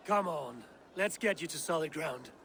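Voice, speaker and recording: An actor playing a steady, loyal Viking warrior in a video game, measured and reassuring. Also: male voice, fairly high pitch, background noise, close to an everyday voice. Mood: concerned. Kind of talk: video game drama acting.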